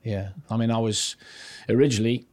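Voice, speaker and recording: A man's voice: on the low side, fairly even intonation, a little fast, a little mellow, no room sound. An older man from north-east England, a guest on a studio podcast, tells long stories in a loose, chatty way. He often restarts his sentences and slips into the voices of people he is quoting. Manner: chatty, rambling, restarts sentences, acts out quotes. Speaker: podcast guest, former goalkeeping coach. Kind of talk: casual anecdotal storytelling.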